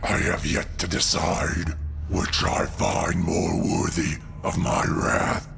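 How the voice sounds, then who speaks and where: A man playing a cold, cruel god-killing villain in an audio drama, speaking in a gravelly, deliberate and threatening way. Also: low-pitched, little pitch movement, noisy background, exaggerated voice. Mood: grim, ominous. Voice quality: gravelly, breathy in places. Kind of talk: comic book audio drama acting. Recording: music under the voice.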